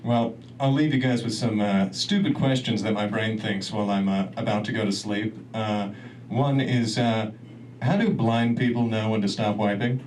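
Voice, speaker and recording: A man's voice: on the low side, normal speed, darkish tone. A man doing a stand-up comedy set in a club, rambling and laughing at his own jokes. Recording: distant mic, noisy club room.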